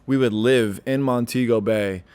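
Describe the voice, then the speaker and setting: Smooth-voiced young comedian, rambling chat. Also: male voice, mid-range voice, steady tone, a little slow, a little mellow. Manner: rambling, lots of likes and restarts. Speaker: stand-up comedian, guest. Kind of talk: casual podcast chat.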